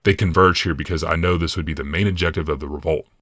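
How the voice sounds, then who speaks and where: A man voicing a cold, scheming commander in an audiobook, speaking in a measured, quietly sinister and commanding way. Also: a bass voice, steady tone, no room sound, clean studio sound, voice pushed down. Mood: calm menace. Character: cold, scheming male commander and healer, smugly menacing. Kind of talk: audiobook character dialogue.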